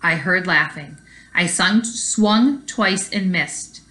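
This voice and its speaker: A woman's voice: on the low side, slow pace, pushed and bright. A woman teacher reading a children's story aloud expressively and explaining it to young pupils. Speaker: elementary school teacher.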